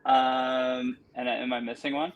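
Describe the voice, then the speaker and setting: A man's voice: mid-range voice, little pitch movement, unhurried, dull audio, slight background noise. A man in his early forties hosting a casual podcast chat, rambling with many restarts and fillers. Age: 40s. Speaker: podcast host.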